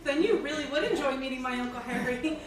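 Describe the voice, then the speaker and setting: A woman reading the stage role of a chatty, teasing friend who loves telling jokes, in an animated storytelling way. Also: high voice, fast talker, a little hiss or hum. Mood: cheerful. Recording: very echoey room, distant mic.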